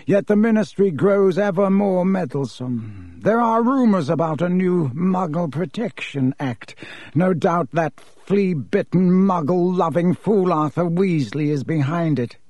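A man voicing a cold, haughty aristocratic wizard father who speaks slowly and disdainfully. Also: high voice, expressive, a little mellow, dry recording, voice pushed up. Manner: slow, clipped and disdainful.

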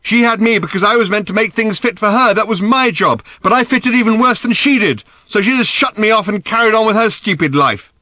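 A sulky, explosive teenage girl screams and rages in a high, strained voice, as a male narrator puts her on. Her outbursts are petulant and shrill, and they come through bright and close with no room around them. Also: expressive, brisk, hardly any pauses, no echo. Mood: bitter.

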